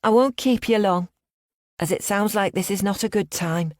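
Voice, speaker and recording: A woman's voice: mid-range voice, normal speed, darkish tone, dry recording, studio quality, pitched above the performer's own voice, close to an everyday voice. A woman reading an audiobook, voicing a female scam caller who poses as a bank worker in a put-on Liverpool accent, formal and overly polite. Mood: smooth, insistent.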